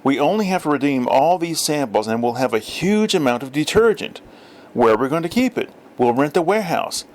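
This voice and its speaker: A man's voice: fairly high pitch, animated, a little fast, lightly acted. A man voicing eager, boastful young boys in a storybook reading. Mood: excited. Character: excitable, scheming young boys of the neighborhood.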